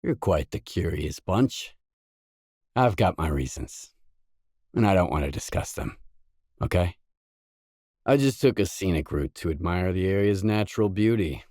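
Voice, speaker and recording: Low-voiced, deadpan male game loner. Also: unhurried, pauses often, no echo, clean studio sound. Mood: guarded, deadpan. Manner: deadpan, terse, guarded. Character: weary, laconic male stalker, wry hardened loner. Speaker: video game voice actor. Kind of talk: isolated game dialogue lines.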